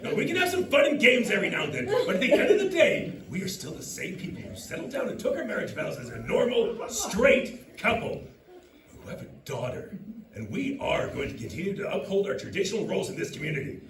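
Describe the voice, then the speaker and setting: An actor playing a domineering, indignant traditionalist husband, belligerent and sarcastic, in a heated drama. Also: male voice, sits high, wildly swooping pitch, fairly quick, full character voice. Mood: indignant, scornful. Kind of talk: emotional dramatic stage-style acting. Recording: echoey room from far off, noisy.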